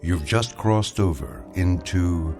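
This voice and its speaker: An actor playing a solemn, knowing narrator of eerie tales, delivering measured, wry scripted narration. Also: male voice, deep voice, over music. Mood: ominous.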